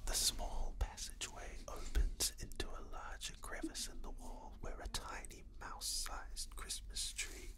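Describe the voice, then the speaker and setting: A man's voice: measured pace, hardly any pauses. Young male storyteller, whispered ASMR narration, close-miked. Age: young adult. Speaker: guest storyteller. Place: small room, indoors. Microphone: close studio microphone.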